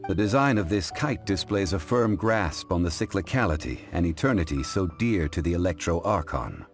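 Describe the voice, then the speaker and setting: An actor playing a dignified, composed scholarly gentleman in a video game, speaking in a formal, measured, erudite way. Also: low voice, lively intonation. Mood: admiring, solemn. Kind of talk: video game cutscene dialogue. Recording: music behind the voice.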